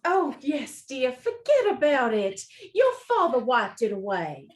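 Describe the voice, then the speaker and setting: A woman's voice: high-pitched, sing-song, unhurried. An actress playing an anxious wife and mother in a radio play, fussing and worried. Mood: soothing, concerned.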